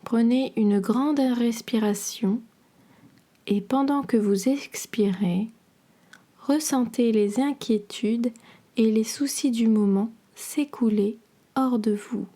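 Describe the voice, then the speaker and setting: A woman with a fairly high, softly breathy voice leads a guided relaxation session. Her delivery is very slow and measured, with a dark, velvety tone. She gives each instruction soothingly. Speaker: podcast host and yoga nidra guide.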